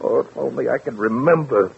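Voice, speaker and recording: A man's voice: medium pitch, fairly quick, creaky. An actor playing an anxious, pleading man under suspicion, stammering in 1940s radio drama style. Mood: desperate, pleading. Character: desperate, anxious man suspected of killing his wife. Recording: tinny, noisy vintage radio recording.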